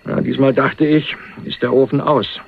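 An actor playing a hunted young millionaire on the run, wry and streetwise, talking in a dry, colloquial, confiding way in a crime radio play. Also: male voice, mid-range voice, flat delivery, normal speed, vocal fry, breathy edge. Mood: wry storytelling. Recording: muffled phone-like narrowband radio recording.

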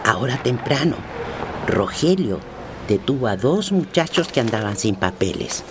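An actor playing a wary, incredulous townsperson in a radio drama, cautioning and inquisitive. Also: male voice, medium pitch, very animated, normal speed, lightly acted. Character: wary, incredulous small-town acquaintance of a smuggler. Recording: noisy outdoor ambience with traffic.

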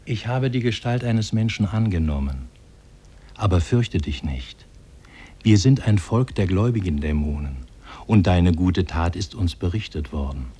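An actor playing a benevolent demon in human form, speaking solemnly and formally in a 1950s German radio drama. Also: male voice, deep voice, expressive, unhurried, warm tone, slightly rough, heavily acted. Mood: solemn, reassuring. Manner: solemn, formal, archaic diction.

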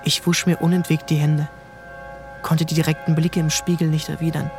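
A young actor narrating in first person as a troubled young man in a literary radio drama, calm and measured. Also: a little high, over music, lightly acted. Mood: reflective. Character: troubled young man looking back on his youth.

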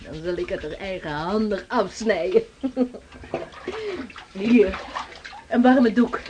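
An actress in a radio play as a playful, teasing sailor's wife, heard over noticeable noise and clicks. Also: female voice, mid-range voice, expressive, slow. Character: sailor's wife, adult woman, teasing and loving. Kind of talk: radio drama acting.

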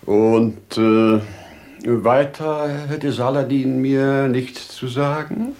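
An older actor playing a wise, humble old merchant in a 1950s German radio drama, with measured, declamatory verse delivery. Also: male voice, medium pitch, fairly even intonation, a little mellow. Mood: deferential, hesitant.

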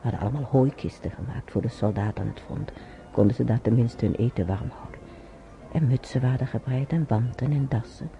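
An actor playing an elderly man who haltingly reminisces in a radio drama, searching his memory and muttering to himself. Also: mid-range voice, close to an everyday voice. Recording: muffled old radio drama recording.

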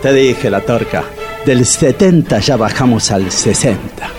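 Man acting wry slangy buddy, Argentine accent, medium pitch, nervous, comic radio drama, music underneath. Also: unhurried, natural voice. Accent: Argentine Rioplatense. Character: wisecracking, nervous male bar-date buddy.

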